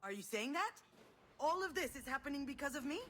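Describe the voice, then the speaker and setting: An actress playing a guarded, self-doubting adult woman with a weary, sardonic, measured way of talking in a naturalistic video game drama. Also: sits high, expressive, fairly bright tone, slight background noise. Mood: shaken, defensive.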